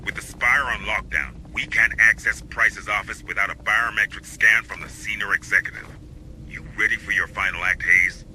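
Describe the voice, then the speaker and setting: An actor playing a steady, practical marine squad leader in a military video game, clipped and commanding. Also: male voice, fairly low pitch, moderate pace, slight background noise, rough recording. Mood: businesslike.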